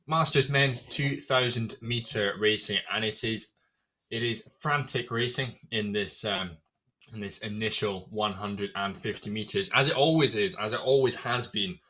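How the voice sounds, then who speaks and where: A man giving live play-by-play commentary on a virtual rowing race, energetic and talking through it with fillers. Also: on the low side, normal speed, low-quality recording.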